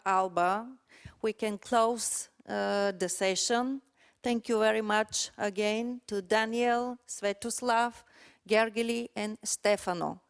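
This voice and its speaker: Slow, gravelly woman moderating. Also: medium pitch. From Bulgaria. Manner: slow, hesitant, many uh pauses. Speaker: session moderator. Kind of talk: moderating a conference session.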